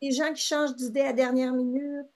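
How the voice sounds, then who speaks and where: A woman with a Québécois French accent talks in a high voice. She sounds middle-aged and speaks casually in an interview. She fills her talk with hesitations and restarts. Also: level tone, fairly quick. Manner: chatty, many fillers and restarts. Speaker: interview guest.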